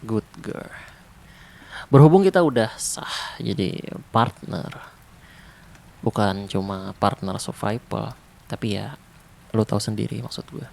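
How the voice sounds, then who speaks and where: A man voicing a protective ex-special-forces survivor in a zombie apocalypse, talking softly and calmly in an ASMR roleplay. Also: on the low side, gravelly, a little hiss or hum. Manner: soft, calm, unhurried, intimate. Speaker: roleplay voice actor.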